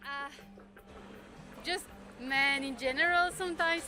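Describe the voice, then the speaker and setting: Woman on the street, high voice, kinda halting answers, music in background. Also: pushed and bright. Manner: thoughtful, searching for words. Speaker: passer-by interviewed. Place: outdoors on a city street.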